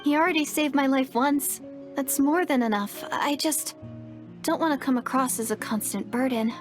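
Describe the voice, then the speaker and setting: A woman plays an anxious young woman in a video game. Her voice is high and youthful, and she speaks earnestly with some hesitation, as if eager not to be a burden. Music plays behind her. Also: normal speed, natural voice. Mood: nervous, earnest.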